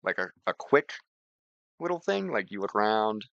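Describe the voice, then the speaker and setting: A man giving an informal screen-share software tutorial, talking conversationally and repeating words for emphasis. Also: on the low side, sing-song, moderate pace, vocal fry, dry recording, muffled recording.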